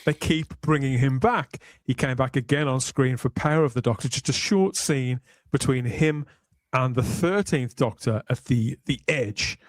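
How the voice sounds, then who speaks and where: A man hosting a podcast with conversational commentary, speaking in articulate, measured, storytelling phrases. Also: mid-range voice, no room sound. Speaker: podcast host.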